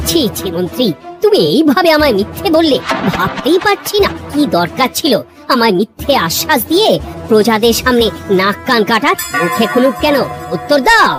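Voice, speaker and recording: A performer voicing a fussy, easily exasperated king with an exclamatory manner in an animated cartoon. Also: high-pitched, normal speed, music in the background. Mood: indignant.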